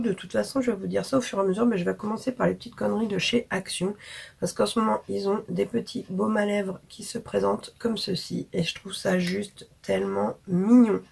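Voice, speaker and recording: Hoarse woman chatting casually. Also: mid-range voice, a little mellow. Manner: chatty, frank, with frequent fillers and slang. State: hoarse from illness. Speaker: beauty vlogger. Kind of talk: casual haul chat to camera.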